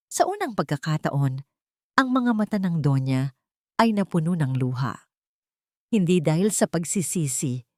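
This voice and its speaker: A woman's voice: fairly low pitch, a little mellow, dry recording, studio quality. A woman reading dramatic scripted narration as an audiobook narrator.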